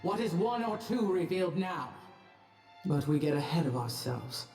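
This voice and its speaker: An actor playing a stately, proud god with a formal, measured and weighty manner. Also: male voice, on the high side, big pitch swings, darkish tone. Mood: proud, grave. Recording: echoing voice over faint music.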